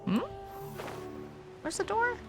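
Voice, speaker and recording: A woman's voice: extremely high pitch, very animated, slow pace, gravelly. A woman livestreaming a game with casual, blunt commentary, thinking aloud and muttering to herself with the odd swear word. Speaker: livestream gamer host. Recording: game music behind the voice.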